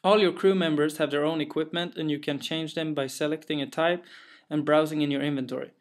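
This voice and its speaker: A young developer from Sweden, his English carrying a clear Swedish accent, talks through his own game with an untheatrical, matter-of-fact delivery. His voice sits a touch higher than most men's, and he leans on small fillers and careful phrasing as he explains. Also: steady tone, a little fast. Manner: careful, plain, a little hesitant with fillers. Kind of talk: narrated gameplay walkthrough.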